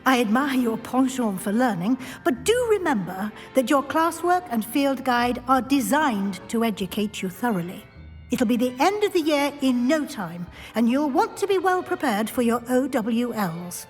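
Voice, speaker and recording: A woman playing a composed, gently stern school professor in a video game, speaking formally and with authority. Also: fairly high pitch, sing-song, moderate pace. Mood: composed, cautioning. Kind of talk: video game character acting. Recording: long hall reverb, faint music.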